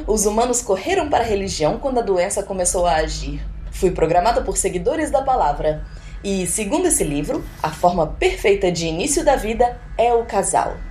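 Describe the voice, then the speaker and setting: A woman voicing a female tablet AI that reasons calmly in a flat delivery. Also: medium pitch, a little fast, a little hiss or hum, pitched below the performer's own voice, natural voice. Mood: reasoning. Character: female AI tablet, calm and logical.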